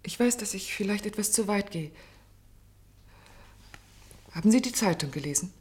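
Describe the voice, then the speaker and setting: A woman plays a doctor's assistant in a radio drama, in a medium-pitched voice. She is formal and restrained. Beneath the dutiful surface she is persistent, conscientious and quietly reproachful. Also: expressive, normal speed, natural voice. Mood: restrained, pointed. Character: loyal but reproachful female clinic assistant, persistent, conscientious. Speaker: actress. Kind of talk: German radio play acting.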